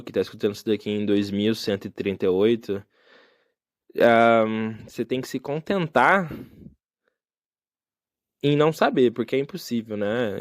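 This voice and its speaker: A man's voice: mid-range voice, dry recording, clean studio sound. A young man hosting a solo podcast, talking in a casual, reflective and rambling way with many fillers. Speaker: podcast host. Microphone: phone.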